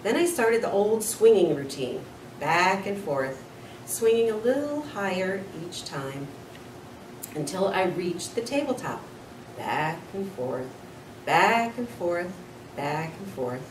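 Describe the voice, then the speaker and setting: Expressive woman reading aloud, echoey room. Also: fairly low pitch, slow pace. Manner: expressive, with small slips and corrections. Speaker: school nurse reading to children. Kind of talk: children's storybook read-aloud. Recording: roomy, distant mic with faint noise.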